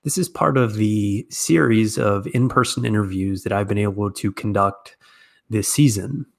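A man's voice: on the low side, normal speed, creaky. American man hosting a podcast. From United States. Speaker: podcast host and interviewer. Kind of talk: podcast introduction and conversational interview.

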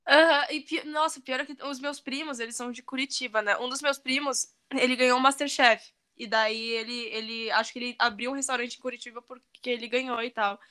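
A high-pitched young woman with a São Paulo Brazilian accent and a strong R. Her bright voice carries a casual chat, full of slang and animated storytelling. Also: fairly quick, few pauses, clean tone. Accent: São Paulo Brazilian Portuguese with strong R. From São Paulo state, Brazil. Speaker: conversation partner.

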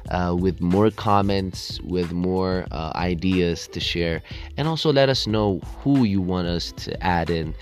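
Young man from ethiopia, solo podcast host, rambling with fillers and restarts, lowish voice, faint music behind. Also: measured pace. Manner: rambling, many fillers and restarts, self-deprecating. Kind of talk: solo casual podcast monologue.

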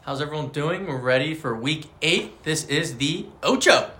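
Man, podcast co-host, trash-talking, slangy jokes, bright sharp voice. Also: a little high, moderate pace. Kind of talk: casual fantasy football chat.

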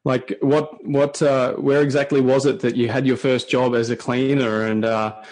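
A male podcast host who keeps up a steady stream of short affirmations while his guest talks. He asks long, searching follow-up questions. His pitch is ordinary for a man. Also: normal speed. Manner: attentive, frequent short backchannels. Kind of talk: casual interview.

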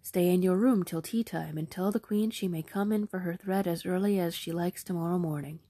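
Woman putting on a old fairy woman, sly, coaxing, whispery, low dark voice, husky, dry storybook read. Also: fairly even intonation, brisk, no echo. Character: small old fairy woman with big feet, bargaining and sly.

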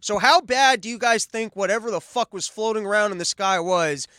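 Brief: high-voiced male podcast host, foul-mouthed and rambling, dry close mic with faint music underneath. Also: fairly quick, bright, forceful tone, clear, resonant tone, no room sound. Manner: brash, profane, rambling riffs. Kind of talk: solo comedic live-stream podcast. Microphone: studio mic on a live stream.

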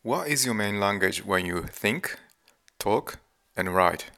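A man hosting a podcast, reading out his interview questions in a measured, scripted way. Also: fairly low pitch, animated, creaky, pushed and bright. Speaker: podcast host.

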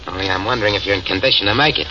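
A man's voice: lowish voice, rapid-fire, natural voice. A man playing a menacing gang boss. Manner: menacing, threatening. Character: ruthless male gang boss Chuck, greedy. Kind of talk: 1940s radio acting. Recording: distant, lo-fi, noisy old radio recording.

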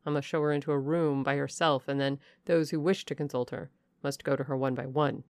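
A woman's voice: rumbling low, steady tone, fairly quick, darkish tone, dry recording, studio quality. A woman voicing a blunt, put-upon male footman who reports messages plainly.

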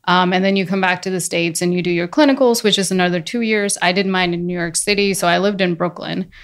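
Woman, 30s, neurologist guest; low gravelly voice, warm chatty explainer. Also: fast. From India. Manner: friendly, explanatory, laughs easily. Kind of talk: casual podcast conversation.

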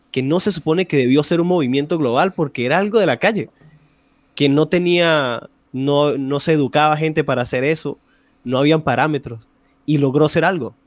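A man with a Chilean Spanish accent talks as a podcast guest, using street slang and restarting his sentences. His voice sits a bit high and sounds dry and close. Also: no room sound. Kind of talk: casual podcast chat.

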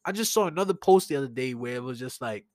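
A man from New York City with a Brooklyn accent, ranting and telling stories casually in an animated, slangy way, as a solo podcast host. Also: medium pitch, quick pace, no room sound, clean studio sound. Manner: animated, informal, slangy, acts out conversations. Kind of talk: casual solo rant and storytelling.

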